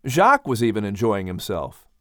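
A man's voice: fairly low pitch, big pitch swings, normal speed, a bit bright, no echo, clean studio sound. A man reading a children's animal story aloud as scripted audiobook narration.

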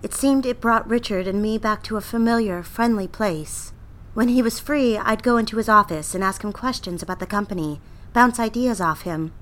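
A woman's voice: medium pitch, moderate pace, fairly bright tone, close to an everyday voice, low-quality recording. An actress playing a yearning young woman copywriter who narrates her diary in an audio drama. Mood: wistful, confessional. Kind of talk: scripted first-person audio drama narration.

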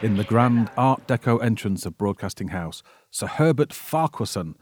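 A man with a fairly low voice narrates from a script. He presents in measured sentences, telling a story to listeners. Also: animated, a little mellow.

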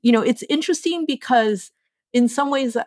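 A podcast host whose first language was Japanese, reflecting calmly with frequent "you know" fillers. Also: high-pitched, normal speed, no room sound. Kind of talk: casual podcast conversation.

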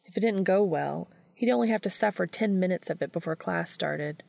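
Woman narrator, low and very creaky. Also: fairly quick, a little mellow, no echo. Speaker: audiobook reader. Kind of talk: scripted story narration.

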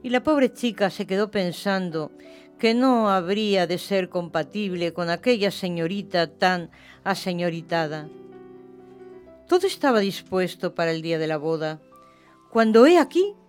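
A woman narrating a literary radio play in an expressive storytelling style. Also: mid-range voice. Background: music.

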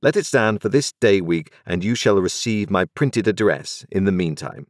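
A man's voice: deep voice, expressive, normal speed, dry recording, clean studio sound. A man voicing a stern, curt middle-aged lawyer who gives commands.

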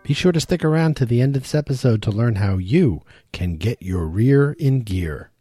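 A man's voice: medium pitch, lively intonation, moderate pace, warm tone, breathy edge, dry recording, faint music behind. A man hosting a podcast, prompting his guest in an easygoing way with casual fillers. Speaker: podcast host. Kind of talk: interviewer.

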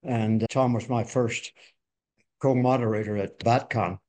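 Older guy a bit hoarse from a cold, rambling folksy podcast talk, lots of restarts. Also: mid-range voice, fairly even intonation, measured pace, darkish tone. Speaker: podcast co-host. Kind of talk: conversational industry reminiscence.

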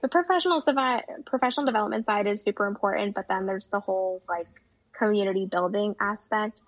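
A woman's voice: medium pitch, fast. A young woman talking as a guest in a casual conversation, with a chatty manner full of fillers. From United States. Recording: dull lo-fi audio.

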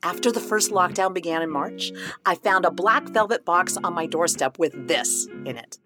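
An actress playing a scheming, imperious playwright in a radio play, with a melodramatic, emphatic delivery. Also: female voice, lowish voice, moderate pace, music in the background, natural voice. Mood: melodramatic, alarmed.

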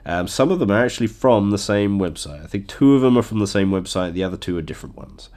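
A man's voice: fairly low pitch, lively intonation, fast talker, darkish tone. A man hosting a casual podcast with a blocked nose from a cold, chatty and joking, chuckling often. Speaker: podcast host. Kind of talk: casual solo podcast chat.

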